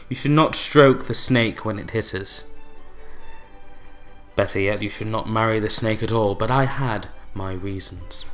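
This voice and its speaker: An actor playing a bitter, broken widower in a radio play monologue, brooding and theatrical, with deliberate pauses. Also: male voice, lowish voice, a bit bright. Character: bitter, broken widower recounting his unhappy marriage.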